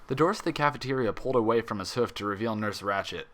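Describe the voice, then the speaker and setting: A man's voice: lowish voice, fast talker, background noise. A man reading a story aloud as narrator, in a scripted, dramatic style. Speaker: fan fiction reader and narrator.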